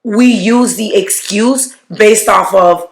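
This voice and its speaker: A woman co-hosts a faith-based podcast. Her voice is gravelly with a fry at the edges. She speaks reflectively, often restarting her sentences, and the room gives a noticeable echo. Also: mid-range voice, slow pace. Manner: reflective, often restarts, quotes scripture figures. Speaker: podcast co-host. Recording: roomy echo.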